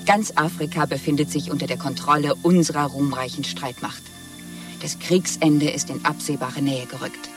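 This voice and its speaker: A jubilant totalitarian announcer, played by a male actor, in a seventies radio drama. He declaims officially and triumphantly in a fairly high voice. He sounds set back from the microphone, with faint music behind him. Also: steady tone, normal speed, distant mic, natural voice. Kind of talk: bulletin announcement in a 1970s German radio play.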